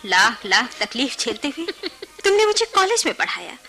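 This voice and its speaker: A young woman's high, lively voice as an outspoken bride pleading with her elder sister in a radio drama. Her tone is bright and swoops emotionally, with faint music behind. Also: moderate pace, exaggerated voice. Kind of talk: Hindi radio play acting.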